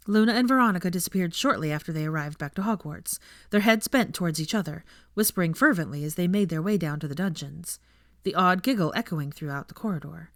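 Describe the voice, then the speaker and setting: A woman reading fan fiction aloud as scripted, expressive story narration. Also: on the low side, no room sound, clean studio sound.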